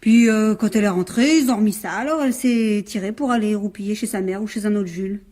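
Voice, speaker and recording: An actress in a radio drama playing a brisk, slangy young woman who teases and loses patience easily. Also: mid-range voice, a little mellow, lightly acted. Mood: teasing, dismissive. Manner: slangy, teasing, exasperated. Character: brisk, mocking young woman, impatient with her anxious flatmate.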